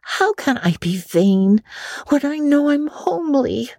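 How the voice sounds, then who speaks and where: A woman's voice: high-pitched, sing-song, measured pace, gravelly, darkish tone, no echo, studio quality, pitched above the performer's own voice, heavily acted. A woman voicing an imaginative, talkative young girl in a storybook reading, earnest and dramatic, with gushing chatter. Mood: indignant, sorrowful.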